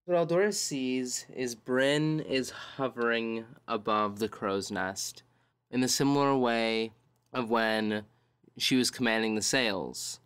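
A man's voice: medium pitch, slow pace, a bit bright, clean tone, no echo. A man narrating as game master of a tabletop role-playing game, describing scenes and searching for words.

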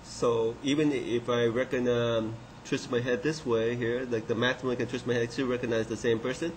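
A man in his thirties giving a halting, unscripted software demonstration as its developer. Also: medium pitch, level tone. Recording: clearly noisy room recording.

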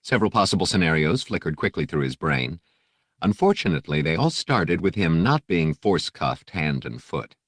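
A man narrates an adventure audiobook in an extremely low voice. The reading is scripted storytelling, captured close with a dry sound. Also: big pitch swings, normal speed, a bit bright, no echo.

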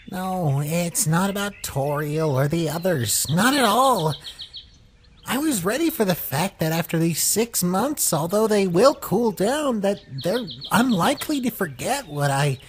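An actor voicing a small, brooding talking flower in a comic dub, weary, somber and halting. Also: medium pitch, slight background noise. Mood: remorseful. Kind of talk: scripted comic dub acting.

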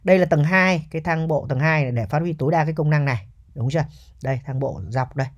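A man's voice: on the high side, quick pace, highs cut off. A man giving a casual, chatty property walkthrough as a real estate agent, often ending points with a checking question.

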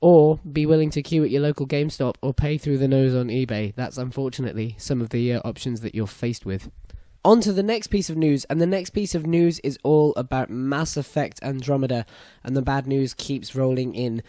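A young British man with a croaky voice hosting a solo gaming news podcast, chatty and opinionated. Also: mid-range voice, fairly even intonation, fairly quick, mellow, dry recording. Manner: chatty, opinionated, apologetic asides. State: hoarse, croaky voice after a concert. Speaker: podcast host.

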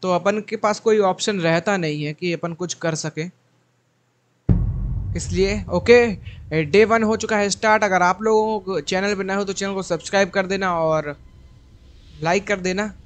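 A man's voice: high-pitched, fairly even intonation, a little slow. A young man giving casual commentary as host of a gaming video, with game music behind him.

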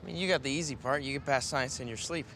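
A young man with an American accent playing a cocky, teasing high school jock with casual delivery in a comedy film. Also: quick pace, sharp tone. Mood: teasing, reluctant. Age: young adult. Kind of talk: naturalistic film comedy dialogue. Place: open outdoor sports field. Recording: outdoor film sound, clearly noisy background.

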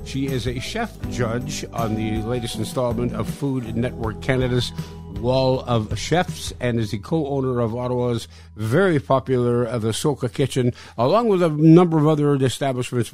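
A man hosts a podcast interview, his voice sitting at a middle pitch. He often stops and restarts mid-phrase while asking questions. The sound is dry and close. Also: darkish tone, dry recording. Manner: halting, repeats words. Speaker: podcast host.

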